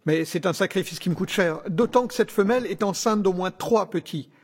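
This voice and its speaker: Man acting a high-pitched, suave villain doctor. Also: husky, dry recording, clean studio sound. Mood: proud, solemn. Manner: formal, measured, persuasive. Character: suave, grandiose male doctor villain ruling a survivors' ark. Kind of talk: scripted audio drama acting.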